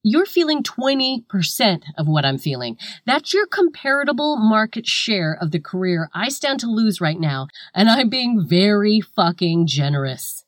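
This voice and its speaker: A woman's voice: mid-range voice, very animated, unhurried, few pauses, no echo, studio quality. A woman playing a scathing, foul-mouthed comedian in a scripted comic argument, with a sarcastic, cutting delivery. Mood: exasperated. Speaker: guest comedian in a scripted satire sketch.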